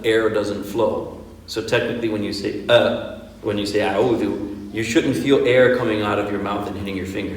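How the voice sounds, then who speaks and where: Man, imam, conversational lecture, rhetorical questions, deep-ish voice, far from the mic, long hall reverb. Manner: conversational, asks rhetorical questions like "right?" and "okay?"; demonstrates Arabic pronunciation. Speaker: imam teaching Quran workshop. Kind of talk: informal religious lecture.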